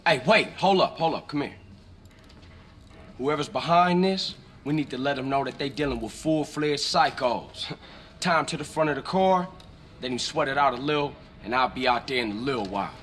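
A man's voice: mid-range voice, normal speed, a bit bright, close to an everyday voice. A man playing a streetwise, confident young American gangster in a video game cutscene, with slangy, informal speech. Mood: cocky, menacing.

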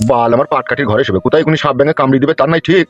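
A man voicing a gruff, coarse, scolding village father in a comedy cartoon. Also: mid-range voice, racing, close to an everyday voice. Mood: grumpy, scolding. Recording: dull muffled audio, faint music. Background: faint music.

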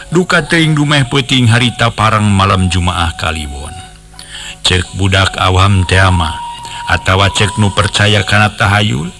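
A man with a Sundanese accent telling a folk tale in a conversational way, with playful rhetorical questions and asides to his listeners. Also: fairly low pitch. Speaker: storyteller. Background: background music.

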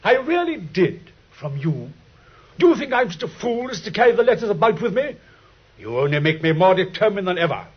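A man's voice: sits high, big pitch swings, a little fast, slightly rough, exaggerated voice. An actor playing a sneering, haughty male blackmailer with a British Received Pronunciation accent and a mocking manner, in 1950s radio drama style. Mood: contemptuous, threatening. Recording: muffled vintage 1950s radio recording.

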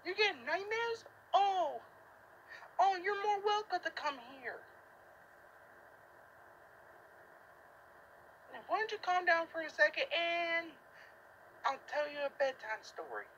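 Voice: extremely high pitch, animated, a little slow, sharp tone, highs cut off, exaggerated voice. A performer voicing a soothing, caring cartoon pal who looks after a dog, on a noisy recording. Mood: welcoming.

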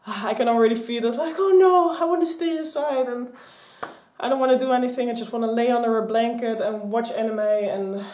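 A young woman in her twenties with a Dutch accent, chatting casually to camera in a rambling, animated way. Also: fairly high pitch, a little fast. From Netherlands. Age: 20s. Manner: chatty, rambling, gesturing a lot. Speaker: vlogger. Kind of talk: casual vlog chat. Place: small craft room with shelves and mannequins, indoors. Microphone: camera on a stand in front. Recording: echoey room.

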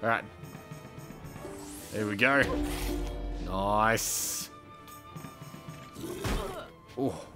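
Slow-paced man thinking aloud over a game. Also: fairly low pitch. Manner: thinks aloud, muttering through decisions. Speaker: gaming channel host. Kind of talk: casual let's play commentary. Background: game music.

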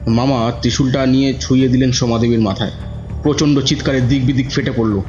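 A man's voice: medium pitch, steady tone, fast talker, a little mellow. A man narrating a scripted horror story in a dramatic, suspenseful manner. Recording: dull audio with music bed.

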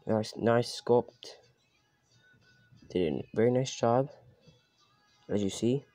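Casting: plain-voiced guy, halting tour narration, phone mic, music under. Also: dark tone, dry recording. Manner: halting, plain listing of items, some low mutters. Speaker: vlogger showing a cardboard house. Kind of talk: casual show-and-tell narration. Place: indoors, small home room. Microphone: handheld phone camera.

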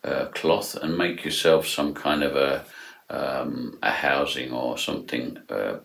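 A man in his fifties talks through a technical demonstration in a very deep, low voice. He explains at a measured, steady pace and fills his pauses with frequent ums. He is recorded on a close camera at his desk in a small room lined with acoustic foam. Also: gravelly. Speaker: product demonstrator.

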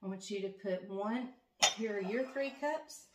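A woman's voice: medium pitch, measured pace, heavy background noise. A woman in her fifties, a grandmother, rambles cheerfully to the camera in her home kitchen. She talks in a loose, chatty way, full of fillers and restarts, recorded on a camera close by. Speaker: farm vlogger, grandmother. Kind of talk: casual vlog chat. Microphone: camera close up, then further off at the counter.

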